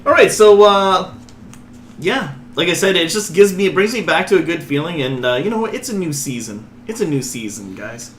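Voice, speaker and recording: A man hosts a casual movie review chat, playful and a little rambling as he trips over his words. His voice is bright and pushed, sitting fairly high for a man. It sounds like a roomy space with a faint hum behind him. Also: expressive, normal speed. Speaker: podcast host.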